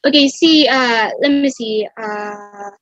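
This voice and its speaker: A woman's voice: a little high, slow pace, a bit bright, dry recording, dull audio. A woman playing a perky, exasperated teenage demigod girl in a sung amateur musical read.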